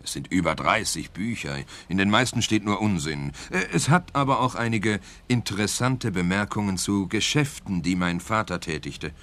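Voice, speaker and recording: Deep-voiced, hesitant client. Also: male voice, animated, moderate pace, few pauses, fairly bright tone. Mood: hesitant, earnest. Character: hesitant, earnest businessman's son, the detective's client. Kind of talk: scripted radio play dialogue.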